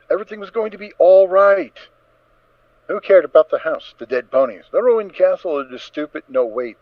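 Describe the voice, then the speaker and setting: A man narrating a story as a dramatic reading, in an expressive, theatrical manner. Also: a little high, no room sound. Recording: slightly dull, dry close recording.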